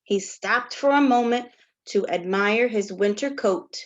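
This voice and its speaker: A woman teacher doing a story time read-aloud for young children, with simple, deliberate phrasing and a sing-song, questioning delivery. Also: medium pitch, muffled recording. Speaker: teacher reading a picture book to young children.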